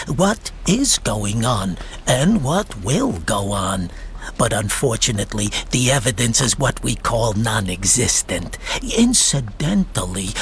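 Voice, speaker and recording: An actor in a radio drama playing a sly, talkative private insurance investigator with a wordy, mock-polite manner. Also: male voice, medium pitch, fairly bright tone, a little hiss or hum.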